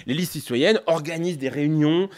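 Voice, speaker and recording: A man's voice: a little high, fairly quick, darkish tone. A man giving an analytical, semi-improvised academic lecture, often hesitating, breaking off and rephrasing as he explains. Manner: hesitant, repeatedly breaking off and rephrasing, punctuated with rhetorical tags. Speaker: political scientist, university lecturer.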